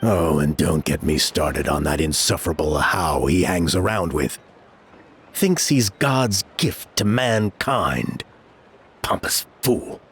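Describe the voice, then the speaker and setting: An exasperated tribesman grumbles sarcastically in a lowish voice. His pitch rises and falls expressively, with faint game ambience behind him. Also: expressive, slow pace, a little hiss or hum, heavily acted. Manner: exasperated gossip, sarcastic mimicry.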